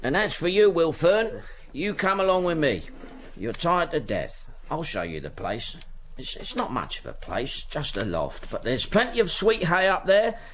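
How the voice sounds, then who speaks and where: A man's voice: a little high, huge pitch swings, normal speed, a bit bright. An actor playing a kindly, poor old ticket porter in a radio drama, stammering and repeating himself as he fusses. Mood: gentle, encouraging. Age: older.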